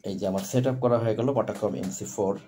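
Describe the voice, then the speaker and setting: A man with a Bangladeshi accent gives a product demonstration as a seller, speaking in halting, repetitive phrases. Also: lowish voice, fairly even intonation, heavy background noise. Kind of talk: product demonstration sales pitch.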